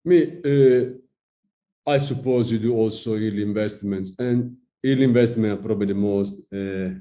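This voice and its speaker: A man's voice: fairly low pitch, fairly even intonation, a little slow, mellow. A man hosting an interview, politely welcoming his guest and asking questions. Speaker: podcast host.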